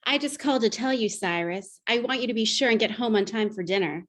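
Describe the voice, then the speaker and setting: A woman's voice: on the high side, quick pace, fairly bright tone. A woman playing a sweetly doting wife on a call in an old-time radio drama. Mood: sweet, coaxing.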